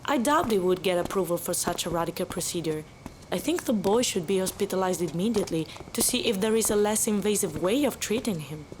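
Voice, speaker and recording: A woman playing a composed, measured female researcher with a concerned manner in an audio drama. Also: medium pitch, expressive, noisy background, lightly acted. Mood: concerned, earnest.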